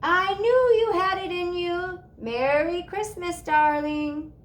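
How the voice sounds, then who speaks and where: A woman's voice: very high voice, steady tone, takes it slowly, voice pushed up. A woman voicing an affectionate, commanding aunt in a theatrical manner for a children's storybook. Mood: fond, brisk. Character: Auntie Claus, affectionate commanding grand aunt.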